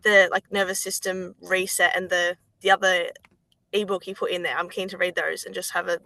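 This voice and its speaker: A woman taking part in an online workshop over a video call, rambling and halting with frequent fillers. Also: fairly low pitch, little pitch movement, creaky, bright, forceful tone, no echo, poor audio. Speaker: workshop participant.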